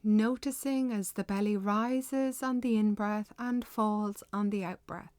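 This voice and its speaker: A woman leading a guided meditation with measured, unhurried, gently instructive delivery. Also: medium pitch, steady tone, no echo.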